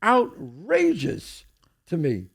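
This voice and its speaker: A man's voice: high voice, huge pitch swings, very slow. An older man hosting a casual talk show, blunt and emphatic, swearing freely. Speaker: podcast host. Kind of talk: casual chat.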